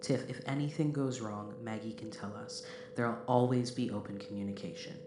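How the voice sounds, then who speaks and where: A man playing an earnest, cautious, mild-mannered spaceship crewman in a sci-fi audio drama, speaking in a measured, formal way. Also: on the low side, fairly even intonation, lightly acted. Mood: reassuring. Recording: noisy, echoey room.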